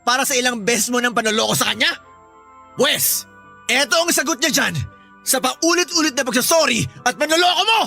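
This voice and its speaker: Older Filipino father played by a man, high-pitched, sharp and gritty, protective and scolding, radio-style melodrama over music. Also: animated, fairly quick, creaky, exaggerated voice. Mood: angry, threatening. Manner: scolding, forceful, cursing. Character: enraged, protective older father of a wronged daughter.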